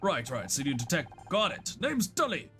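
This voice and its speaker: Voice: medium pitch, very animated, normal speed, sharp tone, soft background music. Someone putting on an eager, dim bodybuilder guy. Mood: nervous, eager. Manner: eager, muddled. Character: dim, cheerful, boastful male handyman and bodybuilder.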